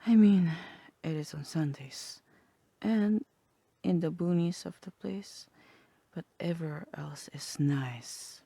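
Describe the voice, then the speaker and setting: A woman voicing a sly, teasing demon in a half-whispered ASMR roleplay, languid and wry, with a husky voice. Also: lowish voice, slow pace, dark tone, dry recording, exaggerated voice. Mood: teasing, coaxing. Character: sly, teasing demon tempting a human toward hell.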